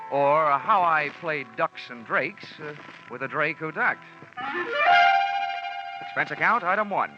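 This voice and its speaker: An actor playing a wisecracking freelance insurance investigator in a 1940s radio drama, talking in dry, sarcastic quips. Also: male voice, on the high side, natural voice. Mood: wry. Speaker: lead character and narrator. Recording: muffled old radio recording with music.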